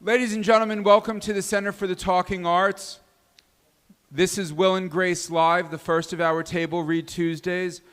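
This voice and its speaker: A man's voice: high-pitched, little pitch movement, moderate pace, fairly bright tone, clean tone. A man hosting and introducing performers at a live stage show. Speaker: host and performer introducing the cast. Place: live venue stage with audience.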